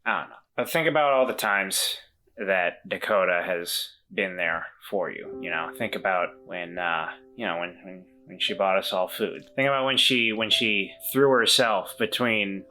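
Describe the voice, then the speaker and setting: Woman voicing a deep-voiced remorseful weasel man. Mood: encouraging. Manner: halting, reflective, gently encouraging.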